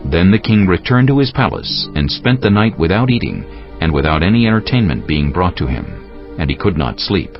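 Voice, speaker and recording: A man's voice: sits low, very animated, brisk. A man narrating a dramatized scripture story as a scripted reading. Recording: muffled audio with music bed.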